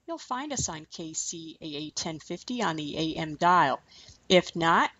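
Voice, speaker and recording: A woman with a lowish voice hosts a radio talk show in a smooth, polished announcer manner. The sound is dull and lo-fi, with a dry, close feel. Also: a bit bright, no room sound. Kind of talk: radio hosting, scripted intro and interview.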